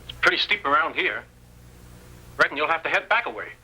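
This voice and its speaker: Old frontier cabin-dweller: crusty, folksy, rural Western American, very bright and raspy, old-time radio drama. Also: male voice, fairly high pitch, brisk, heavily acted, low-quality recording. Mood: grumbling. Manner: folksy, excitable backwoods talk.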